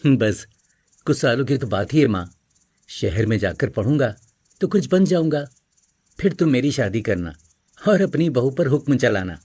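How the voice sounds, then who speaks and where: A man's voice: mid-range voice, very animated, moderate pace, long pauses, a little mellow, breathy edge, no room sound. A man voicing an eager young village son coaxing his mother in a cartoon story. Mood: reassuring.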